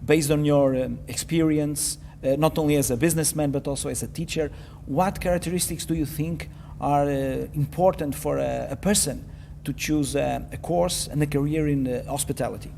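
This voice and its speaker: Fairly high-voiced male host. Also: normal speed, creaky, hardly any pauses, mellow, a little hiss or hum. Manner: hesitant, many fillers and restarts, frequent "okay, very well". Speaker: interviewer, host. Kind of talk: interview questions.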